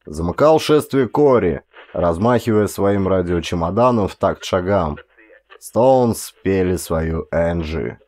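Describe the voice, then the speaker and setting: A middle-aged man narrates fiction in a fairly low voice. He reads in a scripted, dramatic storytelling style, as an actor does for an audiobook. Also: animated, slow, darkish tone.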